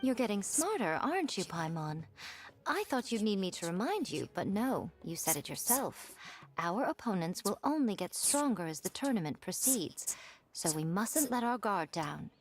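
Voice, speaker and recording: A woman's voice: medium pitch, sing-song, normal speed, soft background music. An actress playing a poised, worldly and playfully teasing woman with genteel phrasing in an anime fantasy game. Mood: playful, encouraging.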